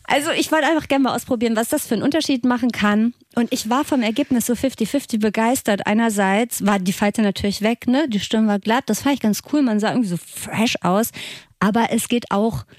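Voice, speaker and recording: A woman in her late thirties co-hosting a podcast, chatting in a lively, self-ironic way. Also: a little high, a little fast, no echo, studio quality. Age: 30s. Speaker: podcast co-host. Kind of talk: casual podcast chat.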